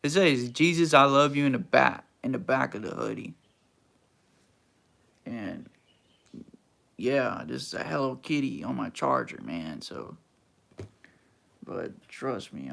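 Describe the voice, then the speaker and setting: A man's voice: mid-range voice, sing-song, unhurried, vocal fry, long pauses, fairly bright tone. A young man from Texas, hosting a casual livestream and answering chat in a laid-back, slangy, teasing way. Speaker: livestream host reading chat.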